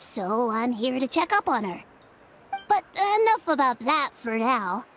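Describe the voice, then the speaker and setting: A woman's voice: high-pitched, slight background noise, heavily acted, poor audio. A woman voicing a small, polite fairy-like creature who works as an earnest investigator in a video game. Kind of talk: scripted video game character acting. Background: game music.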